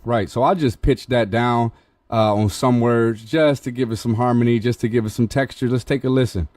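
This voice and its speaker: A man hosting a music-mixing tutorial, walking through the steps in a relaxed, explanatory way with frequent restarts. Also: medium pitch, moderate pace, dry recording. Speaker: host demonstrating music mixing. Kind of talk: casual tutorial walkthrough.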